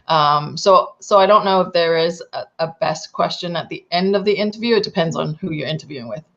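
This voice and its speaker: A woman recruiter speaking on a panel over a video call. She gives candid, practical advice and thinks out loud as she goes. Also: fairly low pitch, fairly quick. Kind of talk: panel discussion. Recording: dull lo-fi call audio.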